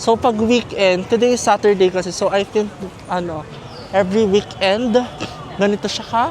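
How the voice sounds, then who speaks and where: A man's voice: high voice, fairly even intonation, moderate pace. A Filipino man chatting casually and ramblingly as a travel vlogger, mixing in English, with a Filipino accent. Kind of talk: casual vlog chat. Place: outdoors on busy city streets. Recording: noisy outdoor street, traffic and crowds. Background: street crowd, vehicles.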